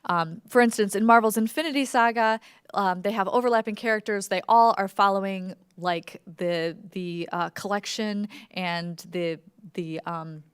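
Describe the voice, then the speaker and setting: A woman's voice: medium pitch, normal speed, clear, resonant tone, no echo, studio quality. A woman giving an informal workshop presentation as a podcast creator, talking in a conversational, enthusiastic way peppered with "like". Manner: conversational, enthusiastic, wordy with frequent "like".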